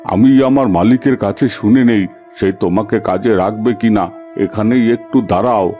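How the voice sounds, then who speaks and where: Plain-spoken rural man, cartoon fairy tale male actor: dark, soft tone over faint music. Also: lowish voice, normal speed, lightly acted. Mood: helpful. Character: village bosses and field worker, plain-spoken men.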